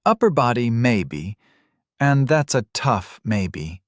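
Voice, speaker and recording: A man's voice: medium pitch, very animated, slow pace, no echo, pitched above the performer's own voice. A man doing an impish young woman adventurer with a raised, lighter voice and a playful, teasing manner. She jokes easily and needles her companion with a grin in her tone. The sound is close and dry.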